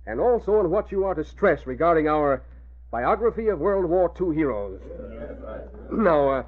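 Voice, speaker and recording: A man playing a self-important publishing boss in 1940s radio drama, speaking in a measured, formal way. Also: a little high. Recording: old radio broadcast, narrow phone-like sound.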